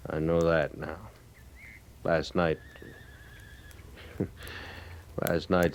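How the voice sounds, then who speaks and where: A man acting a humbled, earnest cavalry sergeant in a 1950s radio western, halting, formal and deferential. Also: deep voice, darkish tone. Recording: noisy vintage radio recording.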